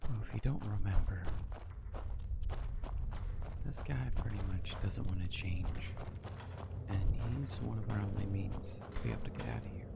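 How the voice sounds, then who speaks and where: A soft-spoken man hosting an ASMR game playthrough, often dropping into a whisper as he comments and reads along. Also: on the low side, expressive, normal speed, vocal fry, pauses often, heavy background noise. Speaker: gaming video host.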